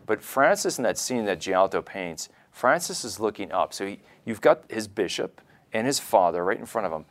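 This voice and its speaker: Low-pitched man, enthusiastic halting explainer. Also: expressive. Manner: enthusiastic explainer, halting delivery with repeated words. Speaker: podcast co-host, religious scholar. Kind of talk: conversational religious commentary.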